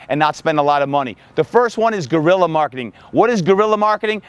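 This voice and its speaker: A man in his forties, a fitness coach, giving energetic marketing tips to the camera outdoors on a lapel mic. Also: on the high side, smooth, no room sound. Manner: energetic, upbeat, talks with his hands. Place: outdoors by a building in sunshine.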